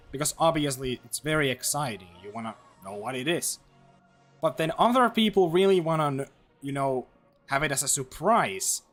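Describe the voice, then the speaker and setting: A man live-streaming a game, rambling casually with lots of fillers and restarts, his throat a little dry. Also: fairly high pitch. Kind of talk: casual livestream chat while gaming.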